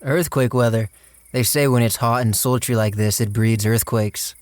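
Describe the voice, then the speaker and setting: A man acting a guilt-ridden, fatalistic plotter who tells his story in a radio drama, somber and deliberate. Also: medium pitch, steady tone, no echo, studio quality, lightly acted. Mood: uneasy. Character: Clyde, guilt-ridden, fatalistic man narrating a murder plot. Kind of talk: dramatic first-person radio drama narration.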